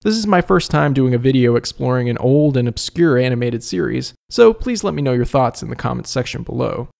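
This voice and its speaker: A man's voice: mid-range voice, lively intonation, brisk, vocal fry, darkish tone. A man narrating a scripted review as a video host, conversational with wry asides.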